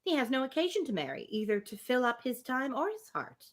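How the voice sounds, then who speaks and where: Lady reading as bossy-minded young regency woman, argues emphatically, pitch all over. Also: sing-song, a little fast. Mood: indignant. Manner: emphatic, argumentative, formal. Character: spirited, opinionated young woman in a Regency novel.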